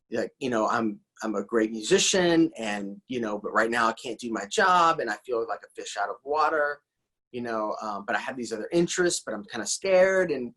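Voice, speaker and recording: A man's voice: mid-range voice, fairly bright tone, rough recording. A man hosting a casual interview in an encouraging, enthusiastic, rambling conversational way, often repeating his words. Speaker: interview host.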